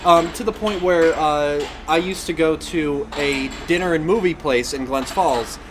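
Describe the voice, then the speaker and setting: A man's voice: fairly high pitch, measured pace, over music. A man co-hosting a casual games and movies podcast, explaining things in a wry, rambling way. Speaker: podcast co-host.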